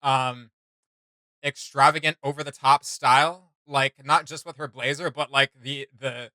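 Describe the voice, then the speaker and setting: A woman's voice: a bass voice, fairly even intonation, unhurried, bright, forceful tone, no room sound. A young adult woman giving casual reactions as a video host in a small room.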